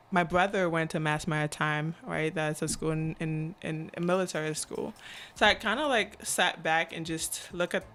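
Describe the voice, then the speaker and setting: A woman from Cameroon in her twenties, a podcast guest talking casually and reflectively, often checking in with "right?" and pausing on "um". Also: low voice, fairly even intonation, a bit bright. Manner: reflective, frequent "right?" and "um" fillers. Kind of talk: casual interview chat.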